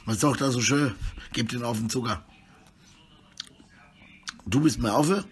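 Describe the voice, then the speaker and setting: A middle-aged man with a husky voice, rambling disjointedly in a selfie monologue recorded indoors on a handheld phone. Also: mid-range voice, measured pace. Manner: rambling, disjointed, often tags sentences with questions. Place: small room indoors. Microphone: phone held at arm's length.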